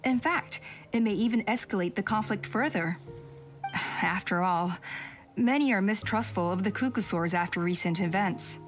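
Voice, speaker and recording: A woman voicing a calm, cautious woman elder of a tribe in a video game, speaking in a measured, cautioning way. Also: mid-range voice, faint music behind, lightly acted.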